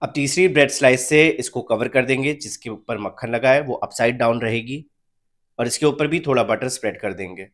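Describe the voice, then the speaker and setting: Guy doing a recipe voiceover, calm and steady, mid pitch, walks you through each step. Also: fairly quick. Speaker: cooking channel host. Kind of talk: recipe voice-over narration.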